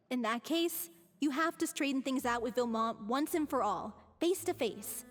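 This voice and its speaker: A high-voiced young troupe actress from a game who speaks frankly and directly. A long reverb trails her lines. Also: animated, measured pace, a little hiss or hum. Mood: earnest. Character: young woman troupe member, frank and practical. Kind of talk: game voice acting.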